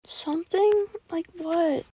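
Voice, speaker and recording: A woman's voice: extremely high pitch, slow, mellow, no room sound. A woman playing a skeptical, outwardly bold young friend in an audio play. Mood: sleepy, irritated.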